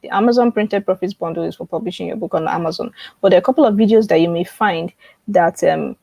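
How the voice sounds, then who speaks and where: A woman's voice: fairly low pitch, fast talker, creaky, a little mellow, no room sound. Woman coaching on a livestream, dry sound. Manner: repeats phrases and checks in often. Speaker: online publishing coach hosting a live webinar. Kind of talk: informal live teaching and promotion, answering viewer questions.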